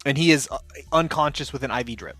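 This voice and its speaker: Male game master, easygoing, mid-pitched, faint music underneath. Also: fast, pushed and bright, no echo. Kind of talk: running a tabletop game.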